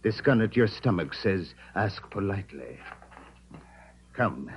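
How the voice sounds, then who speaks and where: An actor playing a suave, fastidious villain, pompous and precise, in 1950s radio drama style. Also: male voice, low voice, very animated. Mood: silky menace. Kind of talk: 1950s radio adventure acting. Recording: muffled, faintly noisy old radio recording.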